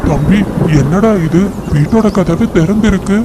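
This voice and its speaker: A voice actor playing a greedy elder male eagle in a cartoon, with a gloating, bossy big-brother manner, recorded over loud background noise. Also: mid-range voice, unhurried, lightly acted. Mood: suspicious. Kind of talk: cartoon voice acting.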